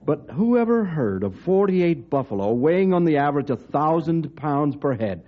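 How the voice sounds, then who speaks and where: Blustery colonel with a widely swinging voice. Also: male voice, on the high side, big pitch swings, normal speed. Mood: exasperated. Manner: emphatic, rhetorical, blustering. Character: exasperated male colonel, a blustery senior official. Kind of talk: old-time radio drama acting. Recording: dull old radio recording.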